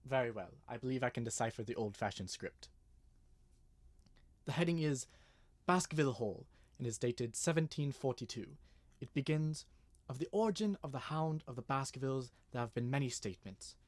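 A man playing an earnest, nervous gentleman with a formal manner in an old-style radio mystery. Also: medium pitch, animated, normal speed, close to an everyday voice. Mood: solemn reading. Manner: hesitant, formal. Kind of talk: 1930s radio mystery acting.

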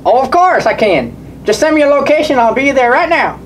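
A woman's voice: sits high, big pitch swings, fairly quick, recorded from a distance. A woman voicing a friendly, helpful male country mechanic puppet, folksy and easygoing. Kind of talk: children's puppet show acting.

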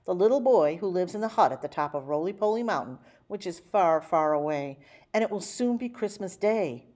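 A woman voicing a polite, earnest old man in a children's storybook, courteous and clear about his errand. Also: fairly low pitch, animated, moderate pace, mellow, lightly acted. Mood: polite, pleading. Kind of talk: storybook character voice.

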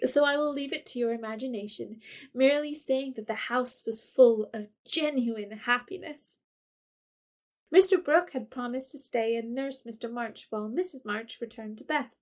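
A woman narrating a classic storybook in a measured way. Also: a little high.

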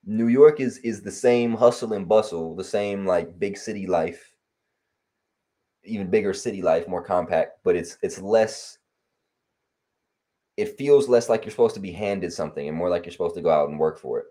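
Young man from LA, very low and mellow voice, loose rambling chat over a headset call. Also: normal speed. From Los Angeles. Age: young adult. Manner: relaxed, rambling with many fillers. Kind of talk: casual chat. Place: home room on video call. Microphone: headset on video call.

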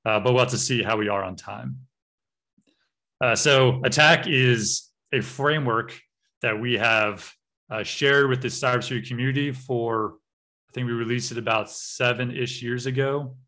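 An American man in his thirties giving an online cybersecurity workshop over slides, speaking in a relaxed way with frequent uh and um fillers. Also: mid-range voice, normal speed. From United States. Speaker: cybersecurity trainer.

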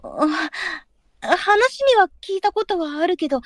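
A woman playing a hesitant, soft-spoken nineteen-year-old courtesan in a Japanese period audio drama. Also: very high-pitched, big pitch swings, unhurried, no echo, studio quality, exaggerated voice. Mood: hesitant, sad.

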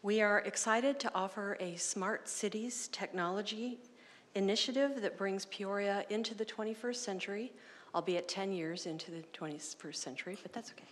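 A woman's voice: medium pitch, moderate pace. A middle-aged woman presenting an item to a city council in a friendly, lightly joking way, at a desk microphone. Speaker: assistant to the city manager. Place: council chamber. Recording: long reverb of a council chamber.

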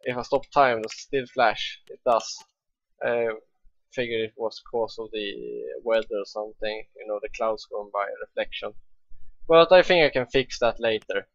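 A young man from Sweden with a Swedish accent, chatting casually and excitedly as he plays a game, swearing freely. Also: fairly low pitch, moderate pace, dry recording, highs cut off. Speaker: let's play gamer. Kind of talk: casual gameplay commentary.

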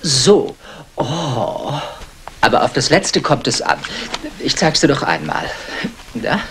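An actress playing an exacting, world-weary dance coach, drawing out counts and barking instructions in a 1960s radio play. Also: female voice, extremely low pitch, lively intonation, fairly bright tone, full character voice. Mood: drilling, exacting. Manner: drawn-out counting, barked instructions. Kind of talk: 1960s German radio crime drama acting. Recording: faintly noisy vintage radio recording.

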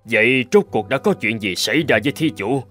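Earnest monk with animated intonation voiced by a man. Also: medium pitch, a little fast, faint music behind, lightly acted. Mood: concerned, kind. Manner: formal, earnest, courteous. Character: kindly, upright adult Buddhist monk, easily flustered. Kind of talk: Vietnamese animated folk tale dubbing.

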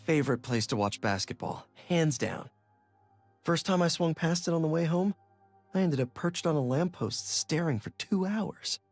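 An actor playing an earnest, self-deprecating young superhero in a video game, casual and wry. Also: male voice, a little high, expressive, moderate pace, faint music behind. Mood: sincere. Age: young adult.

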